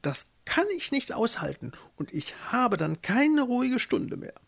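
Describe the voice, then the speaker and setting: A man's voice: very high voice, very animated, a little slow, vocal fry, a bit bright, voice pushed up, exaggerated voice. A man voicing a haughty, restless fisherman's wife turned pope in a storybook, speaking in a stately, condescending way. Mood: grandly dissatisfied.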